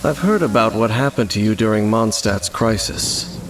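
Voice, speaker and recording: A man plays a mysterious wandering swordsman in a fantasy game, with a low, measured voice and formal, solemn phrasing. Game music and rain sound behind him. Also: close to an everyday voice, low-quality recording. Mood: grave.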